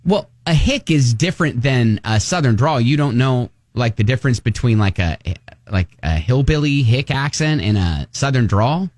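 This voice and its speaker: A man from Ohio co-hosting a radio show, telling a story in a casual on-air chat with a slightly nasal voice and lots of quick restarts. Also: mid-range voice, expressive, darkish tone, dry recording.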